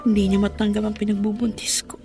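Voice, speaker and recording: A woman's voice: mid-range voice, little pitch movement, fast talker, music in the background, close to an everyday voice. An actress playing a wronged wife and mother in a Filipino radio drama, melodramatic and pleading, with a Filipino accent. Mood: sorrowful, subdued. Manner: tearful, melodramatic delivery.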